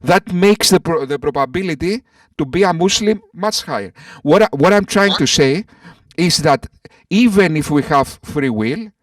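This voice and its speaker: A man's voice: on the high side, animated, normal speed, no room sound, clean studio sound, far from the mic. A man arguing as an atheist in an online voice-chat debate, who stammers, repeats himself and calls others "sir.". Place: voice chat call.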